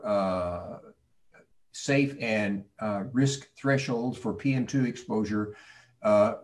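Halting male expert, distant mic. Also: medium pitch, darkish tone. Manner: hesitant, halting, careful. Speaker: expert panelist with a doctorate. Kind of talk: technical explanation answering questions. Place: video call. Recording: distant mic on video call.

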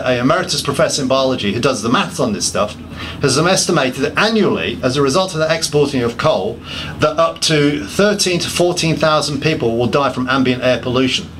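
A man's voice: mid-range voice, fairly bright tone, slight background noise. A man giving a public talk, listing points emphatically and often restarting his sentences with self-deprecating asides. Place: pub function room.

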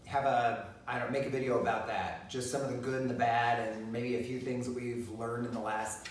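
A man's voice: mid-range voice, level tone, fairly quick, far from the mic, a little hiss or hum. A middle-aged man co-hosting a casual chat, rambling with lots of fillers and restarts. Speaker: co-host. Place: living room, seated on a leather sofa. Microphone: phone camera from across the room. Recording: very echoey room, voice off-mic.

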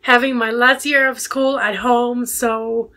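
A young woman from Ecuador in her late teens talks English with a Spanish accent, pausing and reaching for words. Her voice is bright and a little high, held in a steady, even tone. She is heard through a simple earphone mic on a video call from home. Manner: hesitant, many fillers, searching for words. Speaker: guest. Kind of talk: casual video call interview. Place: home bedroom with bookshelves.

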